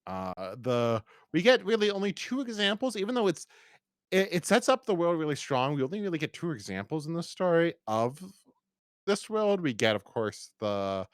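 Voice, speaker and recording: A man's voice: on the high side, big pitch swings, moderate pace, smooth, no room sound, studio quality. A man hosting a podcast, talking casually in a rambling, opinionated and emphatic way as he reviews. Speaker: podcast host.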